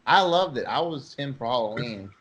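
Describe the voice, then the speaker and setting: Male sports talk host, mid-pitched and highly animated, emphatic and informal with strong opinions. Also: normal speed.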